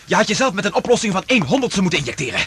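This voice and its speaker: An actor playing a conscientious, commanding lab scientist with urgent delivery in a radio drama. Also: male voice, high-pitched, very animated, rapid-fire, a bit bright, slight background noise. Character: urgent, conscientious male laboratory scientist taking charge.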